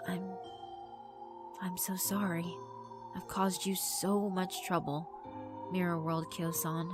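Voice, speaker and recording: A woman's voice: fairly low pitch, level tone, takes it slowly. A woman voicing a meek, humble young yokai girl, timid and hesitant, in visual novel style. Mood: apologetic, ashamed. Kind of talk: dramatic visual novel voice acting. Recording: music behind the voice.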